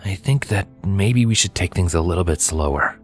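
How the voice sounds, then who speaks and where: A man voicing a shy, sincere young male pony, a cautious suitor speaking quietly and earnestly in a storybook. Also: sits low, lively intonation, moderate pace, soft background music. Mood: nervous sincerity.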